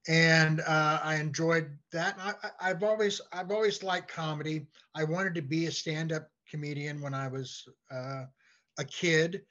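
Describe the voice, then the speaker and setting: Older male interview guest, fairly high voice, hesitant word-repeating delivery, muffled lo-fi track. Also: steady tone, pushed and bright. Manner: halting, repeats words as he searches for phrasing. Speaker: guest, playwright and comedy writer. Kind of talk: casual interview chat. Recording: dull, lo-fi voice track.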